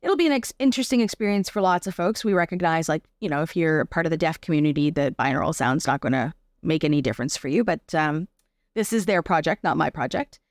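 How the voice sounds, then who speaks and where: A woman's voice: deep voice, fast, no room sound, studio quality. A woman with a Canadian accent speaking as an interview guest in a casual, reflective way, informal and thoughtful as she explains things. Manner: informal, thoughtful, explains through examples and rhetorical questions.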